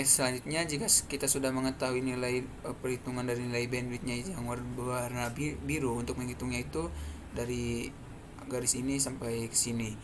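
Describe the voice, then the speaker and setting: Seorang pria muda berlogat Indonesia menjelaskan demonstrasi perangkat lunak sebagai mahasiswa presenter, bicaranya tersendat-sendat. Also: male voice, mid-range voice. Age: young adult. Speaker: student presenter. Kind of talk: explanatory software demonstration. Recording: clearly noisy background.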